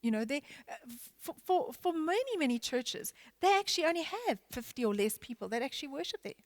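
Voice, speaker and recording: Woman church leader, somewhat high voice, hesitant and searching, dry close sound. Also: sing-song, no echo. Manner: halting, many restarts and fillers. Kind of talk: panel discussion.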